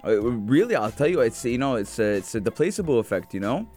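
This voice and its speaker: A man co-hosting a radio show, chatting in a casual, animated, self-mocking way. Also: mid-range voice, fast, faint music behind. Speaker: radio co-host.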